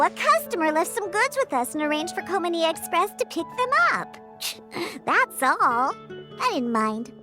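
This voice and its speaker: A woman voicing an excitable, curious young cat-eared girl in an anime-style video game, eager and emphatic. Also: very high-pitched, exaggerated voice.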